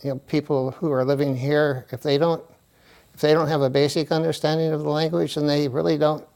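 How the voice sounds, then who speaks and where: A man answering as a volunteer tutor, speaking hesitantly with frequent pauses and restarts. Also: fairly high pitch, little pitch movement, gravelly, darkish tone, rough recording. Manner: hesitant, many fillers and restarts. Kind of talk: interview answers.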